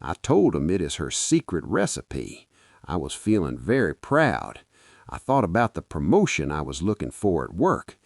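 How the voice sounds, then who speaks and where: Nigerian man, podcast storyteller, dramatic first-person narration, deep voice, very dry close sound. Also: wildly swooping pitch, normal speed, vocal fry, breathy edge, no echo.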